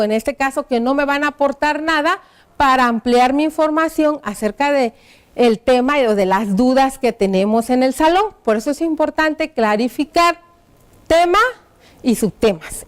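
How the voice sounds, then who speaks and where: High-voiced patient teacher woman. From Mexico. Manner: patient, explains step by step with questions to the class. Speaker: primary school teacher. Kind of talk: classroom lesson for children.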